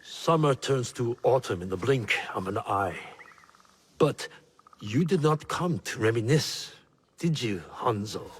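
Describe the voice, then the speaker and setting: An actor playing a solemn, tender middle-aged shinobi father and clan elder, speaking in a measured, reflective way in a video game drama. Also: medium pitch, expressive, husky. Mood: wary.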